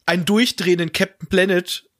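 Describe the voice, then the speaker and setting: A man's voice: high-pitched, steady tone, brisk, fairly bright tone, no room sound, studio quality. A man in a casual podcast chat, talking in a nostalgic, joking way.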